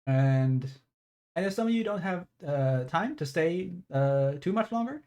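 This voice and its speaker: A man's voice: fairly high pitch, expressive, unhurried, mellow. A man streaming a game with casual, rambling commentary, wry and casually profane. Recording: stream with game music behind.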